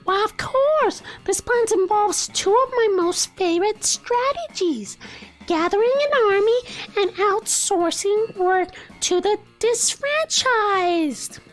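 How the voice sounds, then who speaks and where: Game princess: extremely high, slow, prim and innocently sweet, over light music. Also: male voice, expressive, heavily acted. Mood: delighted. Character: naive, sweet, sheltered young monster princess.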